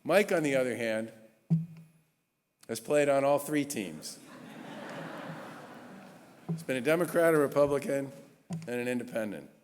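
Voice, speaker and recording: A man in his sixties, a state governor, giving an earnest, emphatic introduction speech with measured pauses at a gala. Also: mid-range voice, animated, a little hiss or hum. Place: large historic church. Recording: long reverb of a large church hall.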